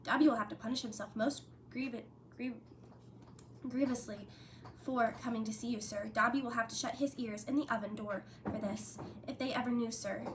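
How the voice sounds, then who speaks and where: A woman voicing a small, servile, tearful male house elf with a high-pitched voice who speaks of himself in the third person. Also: fairly even intonation, moderate pace, background noise, lightly acted. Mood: anxious.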